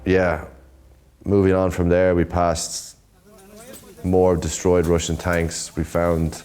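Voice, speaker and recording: A man's voice: deep voice, little pitch movement, takes it slowly, darkish tone, clear, resonant tone. An Irish man narrating his own documentary in a casual, reflective voice-over, often adding "you know". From Ireland. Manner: casual and conversational, with many "you know" fillers. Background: music under the narration.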